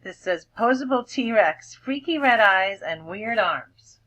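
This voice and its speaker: A woman teacher reading a picture book aloud to children, expressively, stretching words and dropping into hushed explanatory asides. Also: mid-range voice, expressive, slow pace. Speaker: elementary school teacher.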